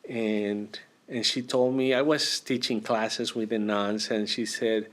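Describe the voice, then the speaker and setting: Reflective priest from Argentina. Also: male voice, on the low side, fairly even intonation, a bit bright. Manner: unhurried, reflective, with frequent pauses and hesitations. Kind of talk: personal story in an interview.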